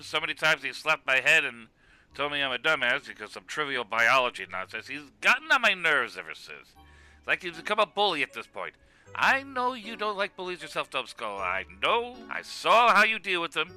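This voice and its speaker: A man's voice: on the high side, pushed and bright, smooth, dry recording, full character voice, low-quality recording. A man voicing a hot-tempered, sarcastic cartoon Viking with a blunt, exasperated delivery. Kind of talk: comedic cartoon voice acting.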